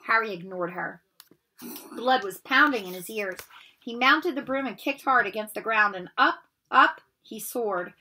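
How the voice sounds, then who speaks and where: A woman in her forties reading a children's novel aloud in an expressive storytelling style. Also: fairly high pitch, a little slow, slightly rough, rough recording. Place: bedroom at home, indoors.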